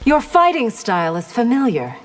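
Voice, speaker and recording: Haughty, expressive warrior commander. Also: female voice, a little high, moderate pace, big-room sound. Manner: haughty, cool, formal. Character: proud disciplined female army commander, haughty ancient fighter. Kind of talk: video game character acting.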